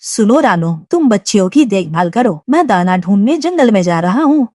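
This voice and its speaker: A caring husband bird put on by a woman in a cartoon story, pitched down to a middle register. The delivery is animated and reassuring, recorded dry and close. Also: normal speed, dry recording. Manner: reassuring, instructing. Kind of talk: Hindi cartoon story dubbing.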